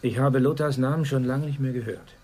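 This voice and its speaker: An actor playing a reluctant older man, guarded and measured as he recalls a buried past, in a German radio drama. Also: mid-range voice, mellow, close to an everyday voice. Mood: wary.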